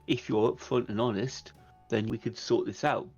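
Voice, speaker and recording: A man's voice: medium pitch, fairly even intonation, normal speed, darkish tone, slightly rough. A man voicing a wary, blunt, businesslike vampire negotiator in a tabletop game, on dull audio with music behind.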